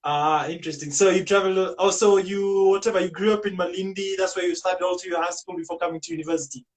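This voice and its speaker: A man's voice: high-pitched, steady tone, fast talker. A man hosting a casual live interview, asking inquisitive questions and adding encouraging interjections. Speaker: interview host.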